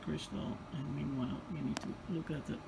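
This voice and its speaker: A man in his forties with a Dutch accent, repairing an old radio and thinking aloud step by step with hesitant pauses. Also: fairly high pitch, fairly even intonation, vocal fry, very noisy. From Netherlands. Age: 40s. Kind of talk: hands-on repair vlog.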